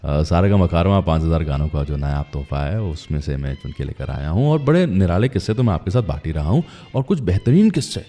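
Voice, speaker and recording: Deep, bright-voiced male radio host. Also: very animated, fast talker, few pauses, big-room sound. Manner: chatty storytelling, addresses listeners directly. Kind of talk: conversational radio presenting.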